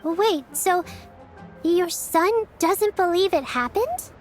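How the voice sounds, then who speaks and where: A woman's voice: very high voice, expressive, slow pace, exaggerated voice. A woman voicing a small, perky, boastful fairy companion in a video game, who talks about herself in the third person. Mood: curious doubt. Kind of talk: animated video game voice acting. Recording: music behind the voice.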